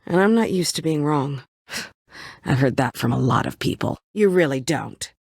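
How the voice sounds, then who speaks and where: A woman's voice: sits low, lively intonation, moderate pace, vocal fry, darkish tone, husky, no echo, clean studio sound, full character voice. An actress playing a bitter, world-weary woman survivor set on vengeance in a story video game, brooding, curt and dryly sardonic. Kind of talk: dramatic video game voice acting.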